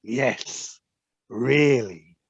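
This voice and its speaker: Villainous weasel, female actor: oily and insincere, gravelly, very slow, on muffled lo-fi call audio. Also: a bass voice, exaggerated voice. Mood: menacing. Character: sly, falsely sweet villainous weasel. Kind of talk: amateur audio play acting. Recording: dull lo-fi video-call audio.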